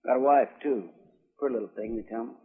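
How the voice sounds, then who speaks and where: A man's voice: mid-range voice, quick pace, breathy edge. A man playing a folksy, deferential marshal's sidekick in a radio western, with a rural American accent. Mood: dutiful, earnest. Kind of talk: 1950s radio western acting. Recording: muffled, echoey vintage radio broadcast.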